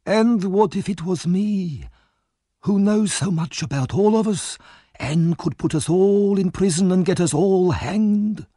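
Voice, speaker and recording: A man voicing a scheming old male gang boss in an audiobook, hateful and wheedling, with slow, insinuating speech. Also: high voice, warm tone, no echo, studio quality, pitched above the performer's own voice. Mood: sly, hateful.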